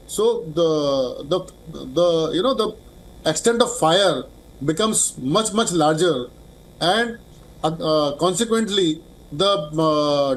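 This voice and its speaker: Male expert guest for news interview, Indian accent, fairly high register, halting and repetitive phrasing. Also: rough recording. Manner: hesitant, halting, with repeated words.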